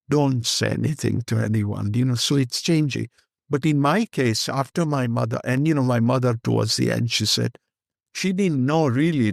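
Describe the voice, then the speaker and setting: A man's voice: mid-range voice, gravelly, no echo, clean studio sound. An older man with an Indian accent, a guest speaking candidly and rambling in an interview, slipping Hindi phrases into his English. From Kenya.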